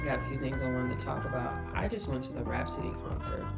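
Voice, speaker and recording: A woman with a very low voice talks easily as a podcast co-host, often backing her partner with "mm-hmm." Her voice echoes around the room over a music bed. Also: fast talker, vocal fry. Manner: relaxed, many "mm-hmm" backchannels. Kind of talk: casual chat.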